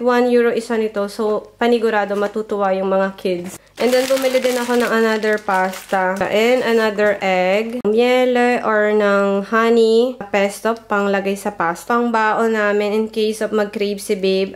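A Filipino-accented woman narrating a haul vlog in a casual, chatty way, listing items one by one. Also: a little high. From Philippines. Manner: chatty, itemizing. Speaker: vlogger. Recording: loud background music. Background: music.

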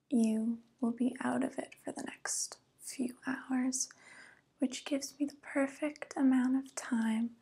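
A woman's voice: a little high, husky, heavily acted. A young woman playing an evil, ageless witch in an ASMR roleplay, whispering breathily and close with condescending mock pity. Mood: sly, menacing. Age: young adult. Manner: slow, hushed, condescending, mock-pitying. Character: evil 142-year-old witch who looks young, coolly predatory. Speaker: ASMR performer. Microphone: close microphone.

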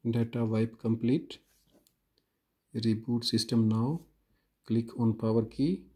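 Man, tutorial host, dark mellow tone, flat delivery, unhurried step-by-step instructions. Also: mid-range voice, slightly rough. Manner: slow, plain and instructive, with frequent "uh" pauses. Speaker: phone repair tutorial host.

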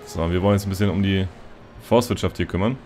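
A man's voice: deep voice, fast talker, a little hiss or hum. A man hosting a let's play, chatting casually while he plays and often dropping a filler "so". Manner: relaxed, chatty, lots of "so" fillers. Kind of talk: casual gameplay commentary.

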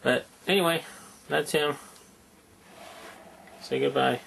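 A man's voice: fairly high pitch, slow pace, creaky, fairly bright tone, slight background noise, rough recording. A man giving a rambling, offhand toy review at home at night, recorded on a camera mic. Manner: rambling, offhand, muttering asides. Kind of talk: casual hands-on review. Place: quiet room at home at night.

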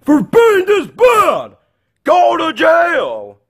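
A short-tempered scolding father in a homemade comedy skit, acted out by a man. He nags repetitively in a husky, slow, extremely animated voice that sits fairly high. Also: full character voice. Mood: angry, exasperated. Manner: nagging, repetitive, exasperated. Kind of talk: amateur improvised comedy skit.

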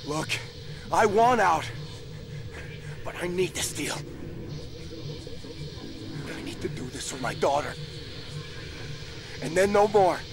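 An actor playing a weary, hardened criminal in a video game cutscene, terse and urgently persuading, with music behind him. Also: male voice, high-pitched, lively intonation, slow pace, vocal fry, long pauses, heavily acted. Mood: weary pleading. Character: weary, hardened male criminal, grimly insistent father.

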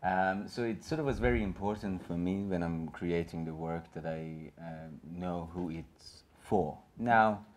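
A man's voice: low-pitched, unhurried, hardly any pauses. A man in his thirties with a Persian accent, speaking on a gallery panel in an earnest, rambling way full of fillers and restarts. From Iran. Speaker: panelist and filmmaker. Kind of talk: panel discussion. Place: small gallery room with an audience.

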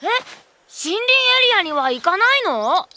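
Bright, high-voiced little boy. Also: very animated, moderate pace, a little hiss or hum, exaggerated voice. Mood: earnest, pleading. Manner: childlike, earnest. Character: eager, earnest little boy, about five. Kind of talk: children's cartoon acting.

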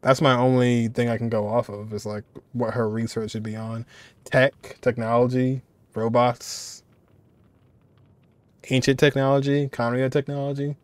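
Male, 20s, mid-pitched, informal reaction commentator thinking aloud, close dry recording. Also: level tone, a little slow, no room sound. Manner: informal, musing aloud, repeats phrases. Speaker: reaction video creator. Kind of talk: casual game reaction commentary.

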